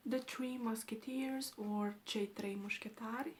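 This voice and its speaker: Soft-spoken woman. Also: fairly high pitch, steady tone, unhurried. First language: Romanian. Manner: soft, often half-whispered delivery. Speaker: book vlogger. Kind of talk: casual book collection vlog with read-out summaries. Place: home room lit by natural light. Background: background music.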